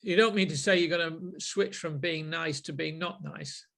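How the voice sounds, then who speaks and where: A middle-aged man with a Manchester accent, leading a group sales session in a deliberate, reflective way. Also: fairly high pitch, creaky, pushed and bright. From Manchester, England. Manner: deliberate, with frequent ums and restarts.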